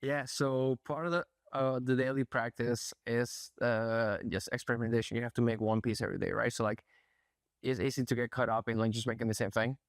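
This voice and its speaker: A young man from Spain, an artist being interviewed, speaking in a soft, thoughtful way with many pauses and fillers. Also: medium pitch, fairly even intonation, no room sound, clean studio sound. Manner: soft-spoken, thoughtful, hesitant, frequent fillers. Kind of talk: casual interview. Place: art gallery.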